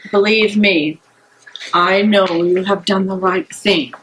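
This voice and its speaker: A woman acts out an earnest resistance conspirator urging action in a stage reading. Each phrase comes very slowly and deliberately, at a middling pitch. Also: steady tone, a bit bright, a little hiss or hum, low-quality recording. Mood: urgent, earnest. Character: resolute secretive anti-Nazi conspirator, urging action.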